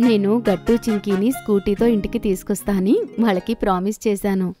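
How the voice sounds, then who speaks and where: A woman voicing a kind, nervous young mother in a children's cartoon, gentle and easily worried. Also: mid-range voice, fairly even intonation, fast talker, soft background music, lightly acted. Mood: happy, reassuring.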